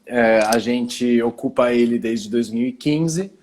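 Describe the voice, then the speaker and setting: A man from Italy joins a video call through his phone and talks in a calm, explanatory way. His voice sits at an ordinary middle pitch. He often pauses briefly to collect his thoughts before going on. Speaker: guest, cultural center founder. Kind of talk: casual video call interview.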